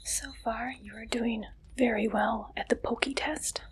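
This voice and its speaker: A woman speaking in a soft, breathy whisper, playing an interviewer in an ASMR roleplay with slow, deliberate, deadpan delivery. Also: a little high, very noisy.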